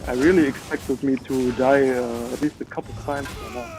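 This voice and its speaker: A man's voice: mid-range voice, fairly even intonation, moderate pace, background noise. A man with a German accent, a native German speaker, chatting casually and commenting on his own gameplay with many filler words. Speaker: gamer.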